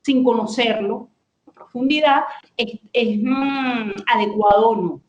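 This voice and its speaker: A woman's voice: fairly high pitch, a little slow, a little mellow, roomy sound, dull audio. A woman speaking as a guest lexicographer, explaining her ideas thoughtfully, with frequent hesitations and restarts. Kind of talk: explanatory conversation.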